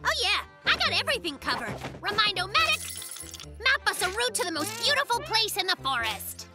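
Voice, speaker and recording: Cartoon bear cub, boy: extremely high, animated, cocky and bossy, loud music underneath. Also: normal speed, exaggerated voice. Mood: confident, pleased. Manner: brash, confident, casual. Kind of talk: children's cartoon voice acting. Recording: music behind the voice.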